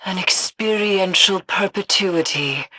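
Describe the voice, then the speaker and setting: An actress playing a cryptic occult expert in a video game, speaking gravely and formally. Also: female voice, medium pitch, slow pace, sharp tone, no echo, rough recording. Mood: grave, ominous. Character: solemn, cryptic female occult expert and field agent. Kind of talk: dramatic video game dialogue.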